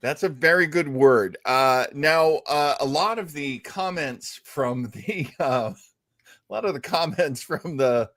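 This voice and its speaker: A man's voice: a little high, slow pace, a bit bright, no room sound, clean studio sound. A man hosting a casual live show, chatting in a jovial, folksy way with exclamations. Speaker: show host.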